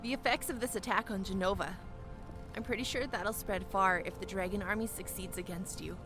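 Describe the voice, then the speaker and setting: A woman's voice: mid-range voice, steady tone, brisk, music in the background, close to an everyday voice. A woman playing a confident, breezy young airship pilot with a casual, self-assured manner in a fantasy audio drama. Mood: frank, determined.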